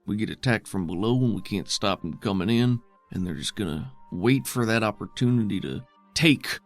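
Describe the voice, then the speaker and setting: A man's voice: lowish voice, expressive, normal speed, soft background music. A man voicing a weary, defiant male settlement leader in a tabletop game, with folksy, plainspoken, skeptical phrasing.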